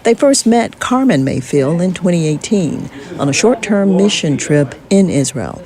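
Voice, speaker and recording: A woman radio reporter narrating a scripted news feature in a measured, clear way. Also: lowish voice, animated, a little mellow, a little hiss or hum.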